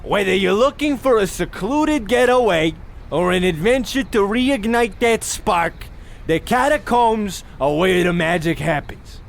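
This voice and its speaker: A man's voice: sits high, animated, a little slow, vocal fry, full character voice. An actor playing a pompous, oblivious husband in a comedic radio play, blustering theatrically at his wife. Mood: insistent, grandiose. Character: pompous, oblivious, bickering American husband on holiday.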